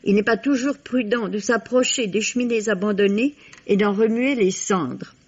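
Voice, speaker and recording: A woman's voice: medium pitch, moderate pace, husky. A woman reading a scripted book review for 1950s French radio, with a literary storytelling delivery. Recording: dull vintage radio recording.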